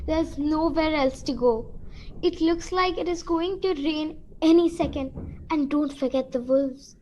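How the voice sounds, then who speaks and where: A woman playing a sensible, bossy girl in a student radio play, brisk and scolding. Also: high-pitched, slight background noise, low-quality recording. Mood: impatient, scolding. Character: sensible, bossy girl hiker, practical and dismissive. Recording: loud music over the voice.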